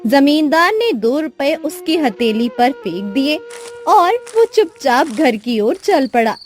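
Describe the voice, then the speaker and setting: High-pitched woman storyteller, very slow. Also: big pitch swings. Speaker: storyteller narrator. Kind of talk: scripted moral story narration. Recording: music behind the voice.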